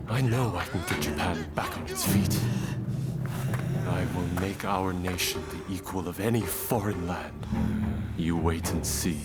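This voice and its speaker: A low-pitched, regal shogun of old Japan, genial and sure of himself. He speaks with courtly composure in a game cutscene, with loud music playing behind him. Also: a little slow. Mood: resolute. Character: genial, self-assured shogun of 1860s Japan, a regal man. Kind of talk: video game cutscene acting.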